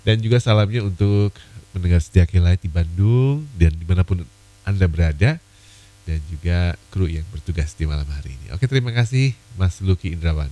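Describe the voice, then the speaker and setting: A man hosting an evening radio request show, a little hoarse from a cold, chatting casually with many fillers. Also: low-pitched, animated, a little fast, mellow. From Indonesia.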